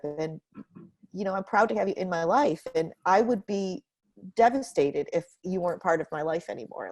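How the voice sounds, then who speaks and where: A woman's voice: lowish voice, darkish tone. A woman hosting a casual, heartfelt video-call conversation from home, informal and earnest, repeating phrases for emphasis. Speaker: host.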